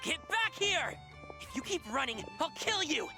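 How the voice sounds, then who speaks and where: Brash, animated young martial artist. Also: male voice, extremely high pitch, a little slow, music in the background, full character voice. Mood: aggressive. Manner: pushy, exclamatory. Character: brash, hot-headed young male martial-arts dancer.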